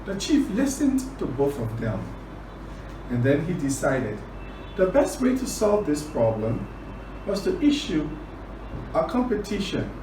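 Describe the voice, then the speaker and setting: A man from Liberia telling a children's story and teaching words, deliberate, repeating phrases for emphasis. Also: a little high, huge pitch swings. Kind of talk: children's storytelling and word lesson. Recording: roomy, distant, clearly noisy recording.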